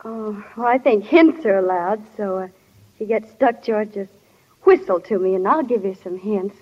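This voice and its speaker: A woman's voice: medium pitch, normal speed, natural voice. An actress playing an earnest, self-conscious teenage small-town schoolgirl in a 1940s radio drama. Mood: shy, polite. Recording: dull, reverberant 1940s radio recording.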